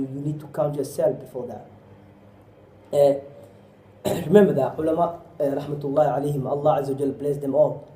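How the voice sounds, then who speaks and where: Male preacher, deep mellow tone, pressing questions, roomy echo. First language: Somali. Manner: exhorting with repeated rhetorical questions; often drops in Arabic phrases. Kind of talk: sermon.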